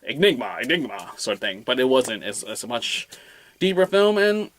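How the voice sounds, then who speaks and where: A man's voice: mid-range voice, sing-song, normal speed, fairly bright tone. A man reviewing a film in a casual, unscripted way, rambling colloquially and often repeating words and phrases.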